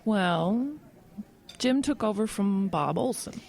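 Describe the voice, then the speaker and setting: A friendly, overworked small-town office woman in a radio play. Her voice is medium in pitch. She speaks conversationally, hedging and hesitating as if reluctant to say too much. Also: animated, slow pace, creaky, a little mellow, exaggerated voice. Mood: evasive. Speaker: actress in an audio drama. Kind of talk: audio drama acting.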